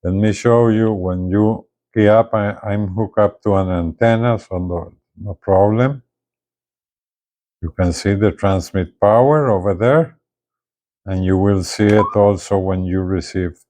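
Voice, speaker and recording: A man presents a casual hands-on review in a fairly low voice. He takes his time, speaking very slowly and haltingly, and often stops to check that viewers follow. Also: a little mellow. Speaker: gadget reviewer presenting a CB radio. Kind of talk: casual hands-on product demonstration.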